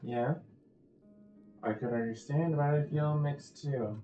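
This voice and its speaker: Very low-voiced laid-back woman streamer. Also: slow pace, mellow. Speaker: game streamer. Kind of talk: casual gameplay commentary. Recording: echoey, dull microphone over game music.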